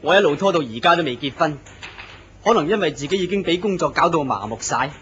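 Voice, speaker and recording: A man's voice: on the high side, lively intonation, quick pace, fairly bright tone. A man voicing a flustered office worker who has been struck by a beautiful woman, earnest in a radio drama. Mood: dazed. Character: dazzled lovestruck male office worker. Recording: muffled lo-fi recording with hiss.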